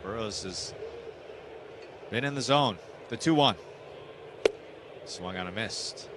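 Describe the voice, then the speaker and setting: A man's voice: lowish voice, expressive, slow pace, background noise. A man doing live radio play-by-play commentary of a baseball game from a broadcast booth.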